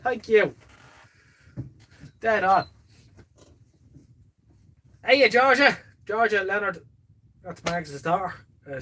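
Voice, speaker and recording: Guy on a live stream, bit high and husky, rambling and jokey, chatting to viewers. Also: sharp tone. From born in the Netherlands, lives in Ireland. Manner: rambling, jokey, self-deprecating. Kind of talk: casual live stream chat, answering viewers' comments.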